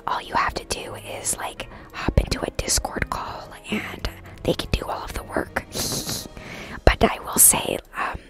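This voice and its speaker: A woman's voice: on the high side, a bit bright, low-quality recording. A woman whispering breathily and chattily to viewers on a casual ASMR gaming live stream. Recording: music behind the whispering. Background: clear background music.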